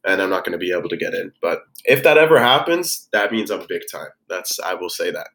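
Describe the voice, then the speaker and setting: A man's voice: deep voice, very animated, fairly quick, vocal fry, fairly bright tone. A congested young man in his twenties, hosting a podcast in loose, rambling casual chat, recording remotely over a voice call. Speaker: podcast host. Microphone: headphones on a voice call.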